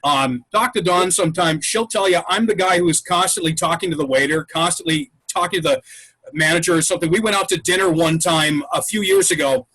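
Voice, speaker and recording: A man hosting an improv troupe's home episode, chatting over a video call and telling stories in a relaxed, talkative way. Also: a little high, fairly quick, slightly rough, rough recording. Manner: chatty storyteller, wraps up the show. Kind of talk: casual chat. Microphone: video call mic.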